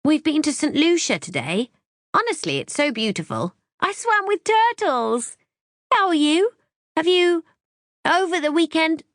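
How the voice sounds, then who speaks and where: A bubbly older mother gushes down the line in a much higher, brighter voice, as a woman narrator puts her on. Her delivery is warm and excitable. The recording is dry and close. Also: measured pace, dry recording, exaggerated voice. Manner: bubbly, gushing. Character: cheerful older British mother on holiday, calling long-distance.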